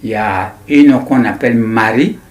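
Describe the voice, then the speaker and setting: A man in his nineties with an Ivorian accent, recounting his memories in an interview in a deliberate, halting way with repetitions. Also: fairly low pitch. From Ivory Coast. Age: 90s. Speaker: interviewee, writer. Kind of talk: personal recollection in an interview.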